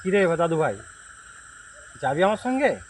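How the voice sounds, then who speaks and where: A man playing an elder friend telling a ghost story conversationally, pausing and checking that his listeners follow. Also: fairly high pitch, big pitch swings. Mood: reminiscing. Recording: noisy night recording with chirping insects.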